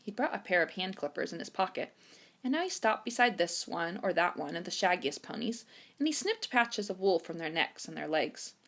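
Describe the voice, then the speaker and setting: A woman reading a children's chapter book aloud in an expressive storytime narration style. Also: lowish voice, normal speed. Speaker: storytime reader.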